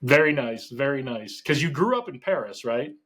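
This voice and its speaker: A man's voice: medium pitch, expressive, normal speed, a crowd in the background. A man hosting a podcast interview, introducing his guest and asking questions in an upbeat, enthusiastic way. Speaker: podcast host.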